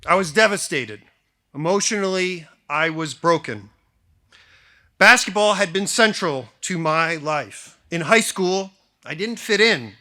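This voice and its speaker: Bright-voiced American man giving a formal speech. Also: high voice, expressive, measured pace. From grew up in Japan, then suburban Detroit, US. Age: 40s. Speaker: school faculty member giving a valedictory speech. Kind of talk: prepared formal speech to graduating class.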